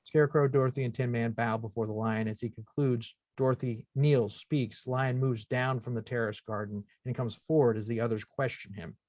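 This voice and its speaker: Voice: medium pitch, steady tone, moderate pace, few pauses, darkish tone, no echo. A narrator reading out screenplay stage directions as scripted narration at a table read.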